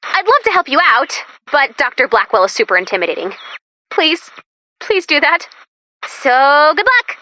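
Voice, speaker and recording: A woman voicing an excitable, anxious, sarcastic female robot helper in a video game, perky and dramatic in manner. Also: high voice, moderate pace, dry recording, full character voice. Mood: nervous. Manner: perky, neurotic, self-deprecating, dramatic. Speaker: video game mission-giving sidekick. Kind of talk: animated video game voice acting.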